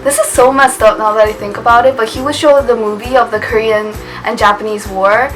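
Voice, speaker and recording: A woman's voice: a little high, fairly even intonation, brisk, a bit bright, low-quality recording. A young woman chatting casually to camera in a vlog, laughing often and trailing off with little "I guess" asides. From United States. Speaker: vlogger. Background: music.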